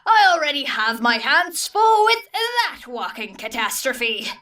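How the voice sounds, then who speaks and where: Casting: put-upon male shop teacher read by a woman, blunt, defensive, raised pitch, audiobook story. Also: very animated, unhurried, pushed and bright, distant mic, exaggerated voice. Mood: harried, defensive. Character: harried, defensive adult male workshop teacher.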